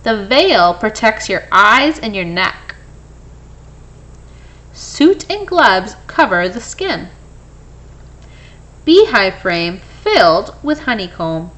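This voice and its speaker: A woman reading a children's picture book aloud in an expressive way, with brief explanatory asides for young listeners. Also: medium pitch, slow, long pauses. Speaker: storybook reader.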